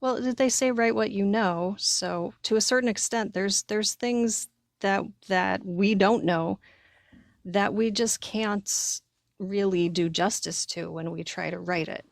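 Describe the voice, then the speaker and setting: A woman's voice: medium pitch, a little slow, gravelly, no echo, clean studio sound. A woman co-hosting a podcast, chatting casually about writing with a wry manner. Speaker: podcast co-host and writer. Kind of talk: casual conversational discussion.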